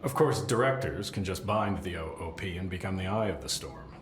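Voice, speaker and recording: An actor playing a fussy, deadpan middle-aged keeper of strange items, with dry rambling talk and awkward fillers. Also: male voice, deep voice, a little fast. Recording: echoey game cutscene with faint hiss.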